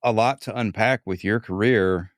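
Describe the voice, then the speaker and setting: Low-voiced podcast host, very dry sound. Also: male voice, normal speed, no room sound. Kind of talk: interview questions.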